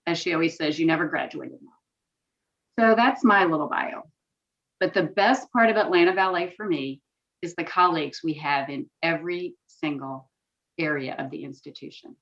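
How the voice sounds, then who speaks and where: A woman's voice: low-pitched, moderate pace, fairly bright tone. A middle-aged woman moderating a panel on a video call, friendly as she presents the guests. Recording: dull, distant video call audio.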